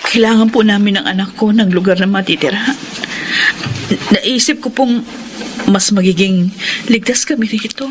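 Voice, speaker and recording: A woman's voice: mid-range voice, normal speed, pauses often, close to an everyday voice. A woman acts a sorrowful young mother in a radio play. She implores respectfully in a mid-range voice. Noticeable noise sits under the recording. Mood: pleading. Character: pleading, sorrowful young widowed mother seeking shelter. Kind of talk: radio drama acting.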